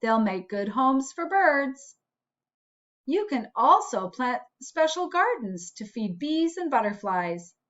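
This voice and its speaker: A woman reads aloud to young children like a school principal at story time. Her voice sits fairly high and swings expressively through each sentence. She takes it slowly, in clear teacherly phrases, on a slightly dull recording. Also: big pitch swings, fairly bright tone. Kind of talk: slow, expressive children's read-aloud with asides.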